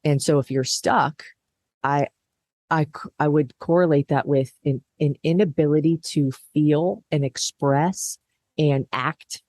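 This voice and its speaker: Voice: medium pitch, steady tone, unhurried, a little mellow, no echo, clean studio sound. An interview guest who talks reflectively, thinking aloud and acting out what they used to think. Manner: reflective, thinks aloud, acts out past thoughts. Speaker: guest, business co-founder. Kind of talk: conversational interview.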